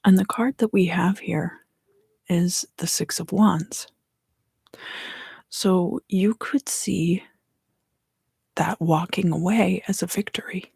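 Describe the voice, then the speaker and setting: A woman speaks very slowly in a soft ASMR style, often slipping into a whisper. Her voice is breathy and fairly low, with a heavy creak at the ends. She reads tarot gently, pausing between short phrases. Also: fairly even intonation, no room sound, clean studio sound. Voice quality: breathy, hushed. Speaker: tarot reader hosting an ASMR video. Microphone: close microphone.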